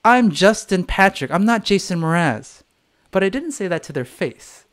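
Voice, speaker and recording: A man's voice: high voice, animated, normal speed, a bit bright. Candid, swearing man on a livestream. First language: English. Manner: candid, swears freely, acts out voices of others. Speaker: singer-songwriter. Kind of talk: live stream chat.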